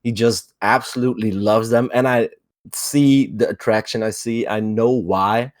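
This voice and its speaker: Slow-talking, low-voiced podcast host. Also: male voice, fairly even intonation, dry recording, studio quality. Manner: slow, friendly, lavish with praise. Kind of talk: casual podcast interview.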